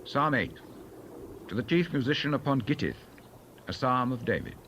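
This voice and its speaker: A man's voice: medium pitch, sing-song, normal speed, a bit bright, muffled recording, slight background noise. A man announcing scripture headings in a formal, scripted reading style. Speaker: announcer of psalm titles.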